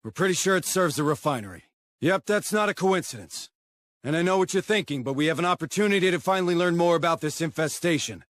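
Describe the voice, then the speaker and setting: An actor playing a gruff, stubborn commander of space marines in a video game, giving clipped, commanding briefings with dry sarcasm. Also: male voice, on the high side, lively intonation, quick pace, a bit bright, no room sound. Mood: commanding, focused. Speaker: military commander. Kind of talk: video game cutscene acting and mission briefing.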